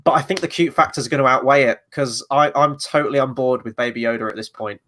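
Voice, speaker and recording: A man's voice: medium pitch, quick pace, a bit bright. A man hosting a casual review chat, a little stuffy from a cold, rambling through his opinions with fillers. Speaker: podcast host.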